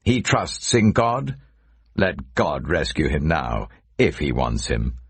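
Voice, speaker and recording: Man voicing a scornful, gravelly jeering mob member. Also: very deep voice, big pitch swings, dry recording, exaggerated voice. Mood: mocking. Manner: slow, drawn-out jeering. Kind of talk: dramatised scripture reading.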